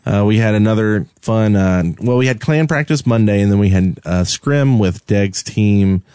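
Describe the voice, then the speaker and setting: A man's voice: lowish voice, moderate pace, warm tone, clean tone, dry recording, studio quality. A man co-hosting a podcast, casually telling gaming stories in a conversational way and acting out the dialogue as he goes. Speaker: podcast co-host and game server admin.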